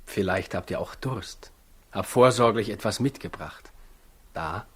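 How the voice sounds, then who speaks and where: An actor in a 1960s German radio play, playing a good-natured, modest stable tenant with a husky, matter-of-fact delivery. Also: male voice, lowish voice, unhurried. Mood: generous, admiring.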